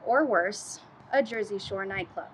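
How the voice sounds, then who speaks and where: A woman playing an earnest, skeptical local TV field reporter in a mock news report, measured and probing. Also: mid-range voice, slight background noise, natural voice, low-quality recording. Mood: presenting.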